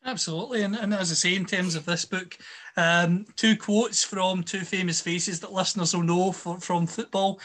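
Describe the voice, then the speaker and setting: High bright voiced guy hosting a podcast, polished and upbeat. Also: steady tone, normal speed. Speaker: podcast host. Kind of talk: interview host.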